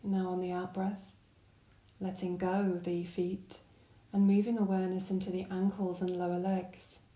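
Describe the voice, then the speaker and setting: Woman, meditation guide, soft mellow tone, gentle calm phrasing, roomy reverberant sound. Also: on the low side, moderate pace. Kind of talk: guided body scan meditation narration.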